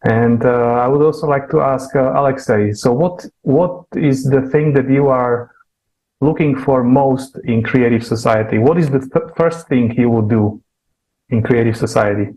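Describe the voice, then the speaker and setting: A man's voice: medium pitch, moderate pace, a little mellow, rough recording. Male forum moderator, average register, conversational, with hesitant and fragmented phrasing. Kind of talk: conversational panel hosting.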